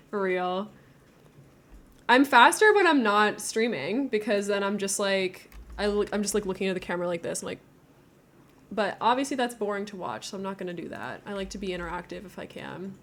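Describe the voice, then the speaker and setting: A woman streams a building game and chats as she goes. She thinks out loud in a casual, giggly way, at a middling pitch. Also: expressive, normal speed, pauses often. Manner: chatty, thinking aloud, giggly.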